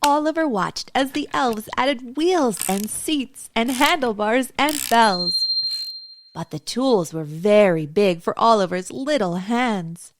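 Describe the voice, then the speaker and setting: High-pitched, animated woman reading a children's story. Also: no room sound. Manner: expressive, deliberate storytelling to young children. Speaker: storytime host and narrator. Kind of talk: children's picture-book read-aloud.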